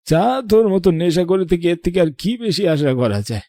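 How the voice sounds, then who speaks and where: An indignant old grandfather out of a horror cartoon, played by a man. His voice is dark and a bit high for a man, and he scolds reproachfully. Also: very animated, moderate pace, no room sound, clean studio sound. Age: older. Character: indignant old grandfather, murdered, returns as vengeful ghost. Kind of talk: Bengali horror cartoon voice acting.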